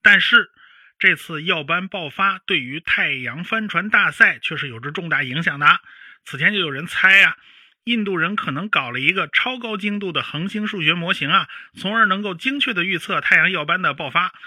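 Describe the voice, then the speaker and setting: Male science professor, very bright forceful tone, husky edge, didactic, emphatic, radio drama. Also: sits high, expressive, normal speed, dry recording, heavily acted. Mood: explanatory, emphatic. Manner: didactic, emphatic, with homely particles. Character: animated, explanatory male professor of spaceflight. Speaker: spacecraft chief designer, expert guest. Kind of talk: expert interview in a radio drama.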